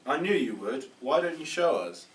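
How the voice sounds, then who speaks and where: A man playing a flattering, coaxing film director in a comedy script table read, with an encouraging manner. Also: on the high side, normal speed. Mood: proud, encouraging. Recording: echoey room, distant mic, faint noise.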